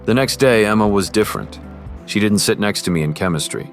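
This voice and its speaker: A man's voice: lowish voice, expressive, fairly quick, lightly acted. A man playing an earnest, privileged teenage boy who confides and narrates in an amateur romance drama. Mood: troubled. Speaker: character and first-person narrator. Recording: music behind the voice.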